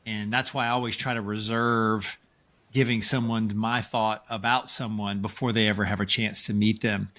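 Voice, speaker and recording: A man hosting a podcast, asking questions in a brisk, conversational way. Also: on the low side, level tone, fairly bright tone. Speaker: podcast host. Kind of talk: interview questions and chat.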